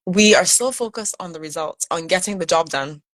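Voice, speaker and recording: A woman's voice: fairly low pitch, normal speed, fairly bright tone. A woman from Barbados with a Barbadian accent, a guest coach on a video call, explaining ideas earnestly with casual likes and restarts. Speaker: guest, burnout recovery coach. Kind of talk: casual conversation.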